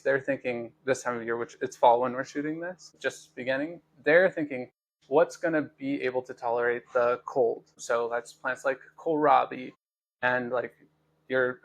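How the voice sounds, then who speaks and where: A man's voice: medium pitch, a bit bright. A man hosting a podcast, explaining his work in an earnest, thoughtful way with frequent fillers and restarts. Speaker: podcast host, grower. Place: Los Angeles studio.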